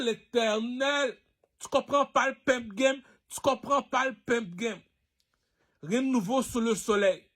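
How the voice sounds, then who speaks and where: A man's voice: sits high, fairly bright tone. A man delivering a rambling, slangy monologue to his followers, boastful and provocative, circling back to his catchphrases. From Haiti. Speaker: online personality addressing followers.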